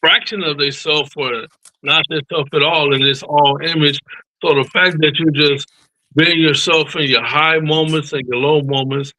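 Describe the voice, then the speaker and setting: A man co-hosts a casual chat in an earnest, supportive way, using informal speech. His voice sits a little high for a man. The sound is dry and close. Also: steady tone, normal speed, bright, forceful tone, no room sound, low-quality recording. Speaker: co-host. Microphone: video call.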